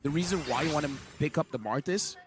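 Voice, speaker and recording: A man's voice: on the high side, fast. Chatty Malaysian male esports analyst. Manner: chatty, uses slang like legit and dude. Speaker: esports color commentator.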